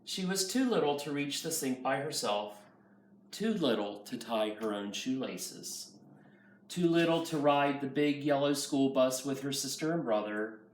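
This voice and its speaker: A man's voice: medium pitch, animated, measured pace. Man reading a storybook, roomy and distant. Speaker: nursery school teacher. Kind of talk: children's picture book read-aloud. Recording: roomy, far from mic, faint noise.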